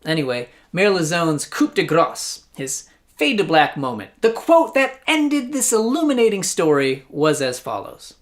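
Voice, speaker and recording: Lively, sarcastic American man. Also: on the high side, a little slow, few pauses. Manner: sarcastic, mock-incredulous. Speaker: host of a satirical news commentary show. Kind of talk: scripted comedic news commentary.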